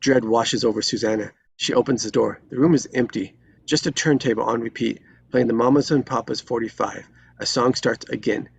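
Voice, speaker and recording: A man reading screenplay stage directions as dramatic narration, in terse, deliberate phrases. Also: mid-range voice, fairly even intonation, vocal fry, a little hiss or hum.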